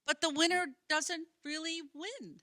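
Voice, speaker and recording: A woman's voice: very high voice, steady tone, a little slow, pushed and bright, no echo, clean studio sound, full character voice. An actress in a radio play playing a skeptical, stubborn, gloomy woman who questions and argues. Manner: querying, argumentative.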